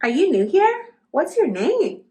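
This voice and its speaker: A woman puts on an excitable, nosy schoolchild from a storybook. The voice is very high and swings about, blunt and full of exclamation. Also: a little slow, roomy sound, full character voice.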